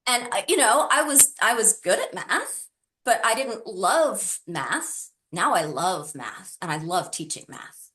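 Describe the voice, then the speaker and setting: A woman's voice: medium pitch, sing-song, a little slow, a bit bright, rough recording. A middle-aged woman answering interview questions conversationally, in an earnest and emphatic way. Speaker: interview guest, tutoring business founder.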